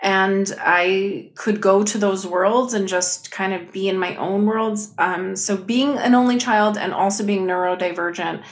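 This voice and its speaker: A woman talking as a podcast guest, telling stories in an animated, expressive way with lots of fillers. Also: mid-range voice, measured pace, few pauses. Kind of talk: casual conversation.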